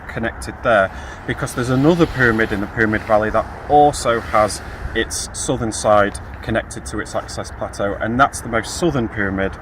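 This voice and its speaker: A man's voice: medium pitch, fairly bright tone. A man in his thirties giving a methodical explanation to camera as a field geologist. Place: grassy hillside outdoors. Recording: outdoor recording with faint ambient noise.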